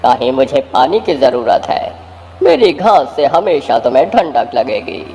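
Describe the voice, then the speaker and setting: A man's voice: medium pitch, sing-song, a little slow, darkish tone, in a large hall, highs cut off, slight background noise. A man voicing a kindly, cheerful magical talking appliance in a children's cartoon, reassuring and eager to help. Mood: cheerful, boastful. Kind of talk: Hindi children's cartoon story voice acting.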